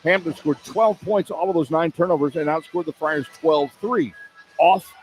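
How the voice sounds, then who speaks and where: A man's voice: a little high, normal speed, low-quality recording. A man working as a radio play-by-play announcer, delivering sports broadcast commentary and game statistics.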